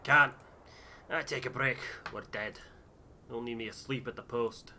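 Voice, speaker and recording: A man voicing a gruff, overworked ship's engineer in a game, curt and brusque. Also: mid-range voice, unhurried, bright, forceful tone, a little hiss or hum. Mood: gruff, impatient. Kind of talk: voiced game dialogue.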